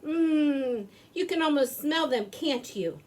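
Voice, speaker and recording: A kindly, jolly old man from a Christmas storybook, acted out by a woman. He speaks in hearty, emphatic exclamations, in a raised voice that swoops widely in pitch. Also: lively intonation, slow, darkish tone, low-quality recording. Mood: coaxing. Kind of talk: children's storybook character reading.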